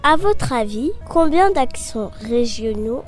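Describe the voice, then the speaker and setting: A woman interviewing passers-by on the street, reading out quiz questions and answers clearly. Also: fairly high pitch, animated, slow, over loud music. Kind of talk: vox pop quiz interview.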